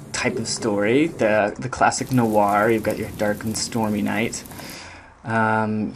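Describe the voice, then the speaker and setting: A man's voice: fairly low pitch, background noise. A man giving an informal walkthrough of a demo, speaking hesitantly as if thinking aloud. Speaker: game audio designer presenting own project.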